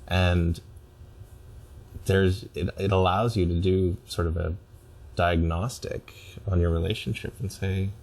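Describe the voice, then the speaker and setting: Male therapy client, very deep voice, reflective and analytical, articulate with measured phrasing. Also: steady tone, a little mellow. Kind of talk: reflective conversation.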